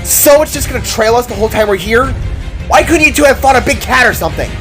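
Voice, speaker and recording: A man puts on a sharp-tongued fantasy adventure woman, pitched well up. The delivery is panicked and complaining, over music. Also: animated, fast talker. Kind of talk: dramatic audiobook character acting.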